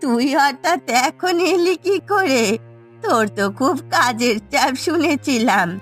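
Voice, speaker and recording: Woman acting a slow-talking, high-voiced old village grandmother. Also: expressive, faint music behind. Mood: fond, fussing. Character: affectionate, trusting old village grandmother, scolding fondly. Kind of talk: Bengali cartoon voice acting.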